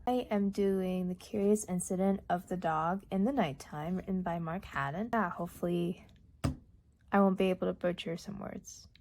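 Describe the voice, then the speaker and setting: A young woman whose first language is Urdu, reading a novel aloud in a calm, measured way, dropping to near-whispers at times. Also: lowish voice, slight background noise. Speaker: audiobook reader. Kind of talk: audiobook narration.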